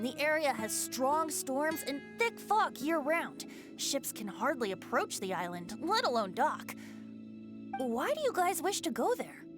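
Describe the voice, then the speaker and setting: A whimsical young bard, high and very animated in pitch. He talks in an unhurried, theatrical, teasing lilt, like a playful video game character, with music clearly behind him. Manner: theatrical, lilting, musing.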